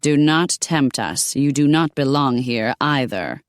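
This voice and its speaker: A woman's voice: a bass voice, expressive, measured pace, no echo, clean studio sound. A woman voicing a proud, stern medieval lady with cold, formal speech.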